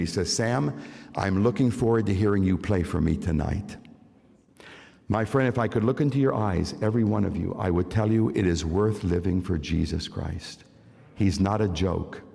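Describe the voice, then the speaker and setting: A man's voice: lowish voice, creaky, a little mellow. An older man giving an emphatic evangelistic testimony in a church, repeating key phrases and speaking directly to his listeners. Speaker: concert pianist giving testimony. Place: large church sanctuary. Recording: long hall reverb.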